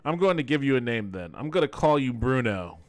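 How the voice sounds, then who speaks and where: A man's voice: mid-range voice, big pitch swings, fairly quick, no room sound. A man doing casual gameplay commentary as a streamer, talking in an amused, incredulous, sweary way. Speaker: video game streamer and podcast host.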